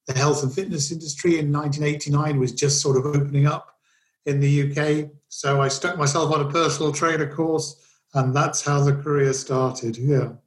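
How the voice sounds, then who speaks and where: A middle-aged man from Kenya, a fitness executive talking casually as an interview guest, relaxed and self-deprecating. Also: a little high, normal speed. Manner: relaxed, self-deprecating, rambling with many fillers and restarts.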